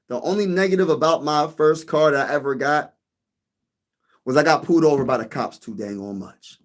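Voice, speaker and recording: A man hosting a sports channel in a casual live-stream chat, rambling, repeating himself and calling listeners "bro.". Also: fairly high pitch. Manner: rambling, repeats phrases, says "bro" a lot. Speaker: YouTube sports channel host.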